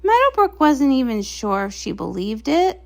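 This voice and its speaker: A woman narrator reading a comic story aloud in an expressive storybook style. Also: sits high, moderate pace, gravelly.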